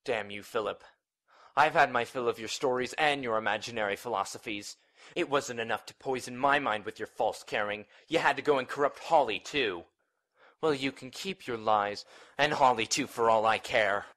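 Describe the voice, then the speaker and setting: An actor playing a bitter, agitated young heir in a gothic soap audio drama, with a melodramatic, accusing delivery. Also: male voice, mid-range voice, normal speed, a bit bright, lightly acted. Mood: bitter anger.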